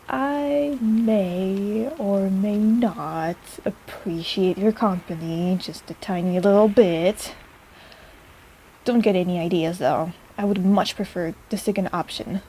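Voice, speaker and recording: A woman's voice: mid-range voice, slight background noise, rough recording. A woman playing a caring, teasing, easily flustered apothecary shopkeeper who rambles in an intimate audio roleplay addressed to the listener. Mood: shy, fond. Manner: chatty and rambling, halting, gently teasing.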